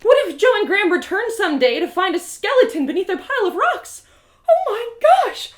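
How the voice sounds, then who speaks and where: A woman's voice: very high voice, big pitch swings, a little fast, voice pushed up. A woman playing a plucky, easily panicked young heroine in an audio drama, talking to herself in emotional exclamations. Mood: pained, panicking. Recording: roomy, echoey sound.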